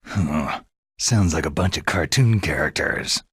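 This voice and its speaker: A gruff game soldier, super raspy low voice, terse and skeptical, dry close sound. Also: male voice, measured pace, fairly bright tone, dry recording, exaggerated voice. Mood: dry, unimpressed. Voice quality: gravelly, husky rasp. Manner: terse, questioning. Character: gruff, skeptical male veteran soldier and covert operative. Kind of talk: video game cutscene acting.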